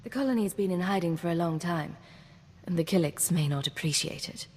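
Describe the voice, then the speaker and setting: Game lead: confident, wry spy commander woman, cool and brisk, lower-leaning voice, reverberant sound. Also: darkish tone, husky. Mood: businesslike. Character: confident, wry female imperial intelligence agent, commanding officer. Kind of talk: scripted video game dialogue. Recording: long reverb, audible background noise.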